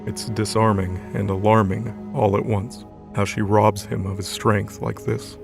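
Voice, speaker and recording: A man's voice: fairly low pitch, flat delivery, unhurried, vocal fry, music in the background. A man narrating an audio drama in a scripted, dramatic storytelling style.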